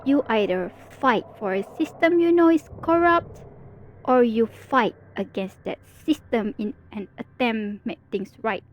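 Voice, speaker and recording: A woman's voice: a little high, lo-fi sound. A woman performing an ASMR roleplay as a teasing female villain talking to her rival hero. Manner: teasing, playful, confiding.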